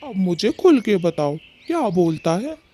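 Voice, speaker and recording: A man's voice: sits high, big pitch swings, a little slow, warm tone, slight background noise. A man voicing a blunt, angry, protective husband pigeon in a Hindi cartoon story, confrontational in manner. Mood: indignant.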